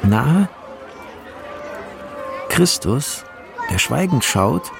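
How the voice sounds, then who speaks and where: Gravelly male narrator reading scene directions. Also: medium pitch, very animated, extremely slow, crowd noise. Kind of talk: scripted narration in radio drama.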